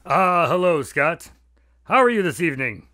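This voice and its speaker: A man's voice: a little high, sing-song, normal speed, pushed and bright, dry recording, clean studio sound. An actor playing a polite doctor who narrates and grows exasperated with his friend in a radio drama.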